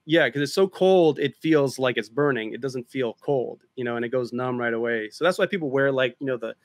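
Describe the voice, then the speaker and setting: A man's voice: medium pitch, fairly quick, no room sound. Chatty man with a dry sound. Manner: relaxed, chatty, explains at length. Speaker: drone filmmaker guest. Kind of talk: casual interview chat.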